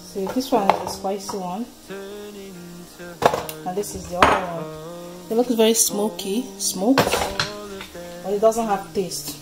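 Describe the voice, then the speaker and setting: A woman talks casually to viewers as a home cook, thinking aloud while she works. Loud music plays along with her voice in a kitchen recording. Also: medium pitch, fairly even intonation, a little slow, pauses often, poor audio. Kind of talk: casual cooking vlog chat.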